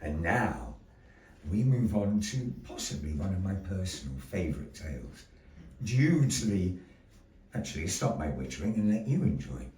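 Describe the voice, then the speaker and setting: A man's voice: low voice, big pitch swings, moderate pace, a little mellow. Theatrical male storyteller in an echoey room. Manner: grandiose, old-fashioned showman's diction. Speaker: puppet show presenter and storyteller. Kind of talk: theatrical live storytelling for an audience. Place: gallery live performance, recorded from a distance. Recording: roomy, distant mic with background noise.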